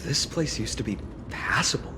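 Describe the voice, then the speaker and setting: A man as a mid-pitched, polite male companion. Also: animated, normal speed, background noise, natural voice. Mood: low, thoughtful. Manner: courteous, questioning. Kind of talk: video game story dialogue. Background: background music.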